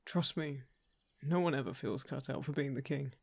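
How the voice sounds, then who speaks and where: A man playing a polite, earnest royal envoy in a fantasy audio roleplay, speaking in a hesitant, gently persuasive way. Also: medium pitch, normal speed, creaky, dry recording. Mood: reassuring, earnest. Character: polite, earnest male royal envoy seeking a lost heir.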